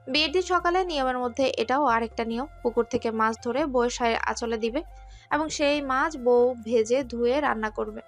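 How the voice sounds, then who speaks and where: A woman narrating a scripted romantic story. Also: high voice.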